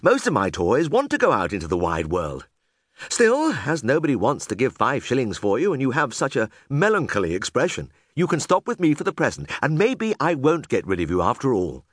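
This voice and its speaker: Man playing a kindly, animated toymaker. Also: medium pitch, brisk, no room sound, clean studio sound, pitched above the performer's own voice. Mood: bemused, relenting. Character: kindly, bemused old-fashioned male toymaker, master of his toys.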